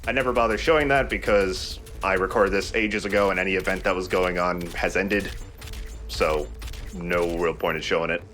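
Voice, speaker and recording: A man with a low-pitched voice commentates over a video game as the host. He explains things in a casual, chatty way. He drops in joking asides and the odd swear word. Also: fairly even intonation, normal speed, fairly bright tone, faint music behind. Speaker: let's play host and player.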